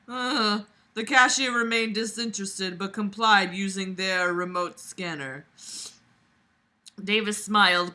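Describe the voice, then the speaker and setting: A woman's voice: medium pitch, pushed and bright. A young woman reading aloud and commenting casually in a sarcastic, exasperated, blunt way, recorded in a room at home. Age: young adult. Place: small room at home, lying on a bed.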